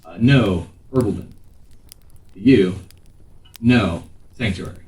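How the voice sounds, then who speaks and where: A man running a tabletop game as game master, speaking in a halting way with pauses mid-phrase. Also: lowish voice, warm tone. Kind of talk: improvised tabletop game narration and chat. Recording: roomy, distant mic, faint noise.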